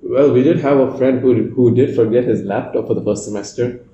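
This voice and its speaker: A man's voice: medium pitch, a little fast, mellow, reverberant, lo-fi sound, slight background noise. A young man raised in Oman, co-hosting a podcast and telling an easygoing story. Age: young adult. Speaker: podcast co-host. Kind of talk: casual chat.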